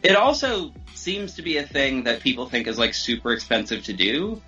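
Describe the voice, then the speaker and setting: Man, bright sharp voice, informal rambling chat, self-deprecating, dull lo-fi recording, faint music. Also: medium pitch, big pitch swings, normal speed. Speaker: podcast guest, audio drama creator. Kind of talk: casual chat.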